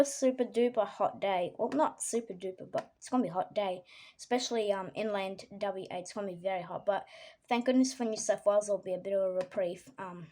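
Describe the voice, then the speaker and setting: An Australian woman with a croaky voice from a cold, giving a casual weather forecast with many ums and frequent throat clearing. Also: medium pitch, dark tone.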